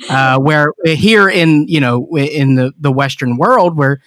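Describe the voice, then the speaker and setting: A man from the American South with a Southern accent, chatting haltingly and self-deprecatingly as a podcast co-host. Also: fairly high pitch, fairly bright tone, no room sound. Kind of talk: casual chat.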